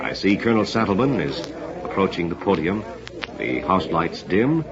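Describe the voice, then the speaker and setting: A man's voice: low-pitched, sing-song, normal speed, noisy background. An American man hosting a scripted old-time radio theater show with formal, theatrical introductions in the manner of an announcer. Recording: dull lo-fi vintage radio, crowd murmur.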